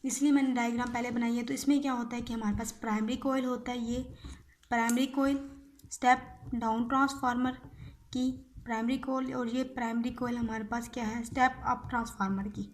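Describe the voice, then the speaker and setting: A woman's voice: fairly high pitch, steady tone, a little fast. A woman giving an informal explanatory lecture, repeating key phrases and often checking in with short tag words. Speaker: physiotherapy lecturer.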